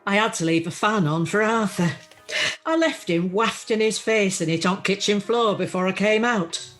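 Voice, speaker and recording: A woman's voice: mid-range voice, normal speed, natural voice. A woman playing a chatty, plucky older widow in an amateur radio play, with a Northern English accent and an earthy, self-deprecating manner. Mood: chatty, wistful. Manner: earthy, self-deprecating, uses homely dialect. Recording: faint music, home video-call recording.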